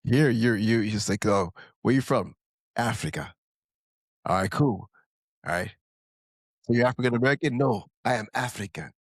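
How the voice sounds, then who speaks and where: A man's voice: mid-range voice, normal speed, pauses often, husky, no room sound. Laughing podcast man, dry close mic. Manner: laughs while talking, acts out quoted voices. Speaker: podcast co-host. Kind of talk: casual chat.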